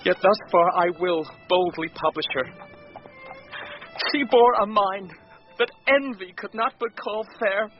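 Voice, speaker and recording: Melancholy young gentleman, lightish voice. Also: high-pitched, unhurried, soft background music. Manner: formal, earnest verse-like delivery. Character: courteous, melancholy young gentleman grieving his drowned sister. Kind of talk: classical Shakespearean radio acting. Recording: muffled radio drama recording.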